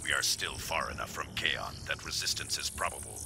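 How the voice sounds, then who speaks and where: An actor playing a cold, logical robot scientist in a video game, speaking formally and precisely in a deep, gravelly voice with dry wit. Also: male voice, expressive, brisk, sharp tone, exaggerated voice. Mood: cold, deadpan. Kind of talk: video game character acting. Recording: music behind the voice.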